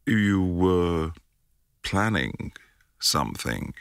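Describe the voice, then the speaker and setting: A man playing an affectionate, playful young boyfriend in intimate ASMR roleplay, murmuring breathily with lingering hums and pauses, close to the mic. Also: sits low, sing-song, a bit bright, smooth, no room sound, heavily acted. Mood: teasing, amorous. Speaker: audio roleplay performer. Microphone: close, dry microphone.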